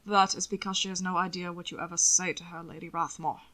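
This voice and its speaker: A woman voicing a suave, devoted gentleman husband in an audiobook, speaking in a measured, formal, protective way. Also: level tone. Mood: dry, composed. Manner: measured, formal, low-key. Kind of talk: audiobook character voice. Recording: occasional static crackle from microphone.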